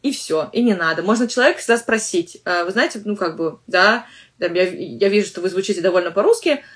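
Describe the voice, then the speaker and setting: A woman's voice: mid-range voice, lively intonation, a little fast. A woman language teacher hosting a podcast, talking in a chatty, loose way with many filler words and restarts. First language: Russian. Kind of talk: casual podcast monologue.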